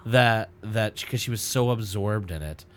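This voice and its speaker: A man's voice: fairly low pitch, a little slow, a little hiss or hum. A man chatting as a guest on a podcast, telling anecdotes and acting out the lines of people in them. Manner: animated storyteller, acts out dialogue. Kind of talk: casual chat, telling anecdotes.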